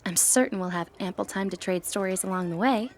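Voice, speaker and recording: A woman playing a sly, confident, flirty thief in a fantasy roleplay, playful and cheeky with breathy asides. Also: mid-range voice, fairly quick, slight background noise, natural voice. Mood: inviting. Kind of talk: scripted fantasy ASMR roleplay, addressing the listener.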